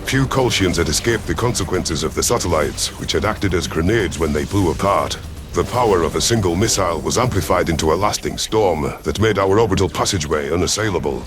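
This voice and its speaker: Male narrator, low-pitched, dramatic first-person sci-fi storytelling, faint music underneath. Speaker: narrator of science fiction audio stories. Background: background music.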